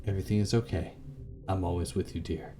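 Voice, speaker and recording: A man doing a gentle, low-voiced late husband. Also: steady tone, quick pace, music in the background, natural voice. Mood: tender, soothing.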